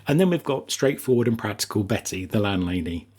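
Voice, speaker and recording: A man's voice: lowish voice, lively intonation, a little fast, darkish tone, husky. A man with a British accent presenting a scripted writing lesson as podcast host, with chatty asides. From Essex, England.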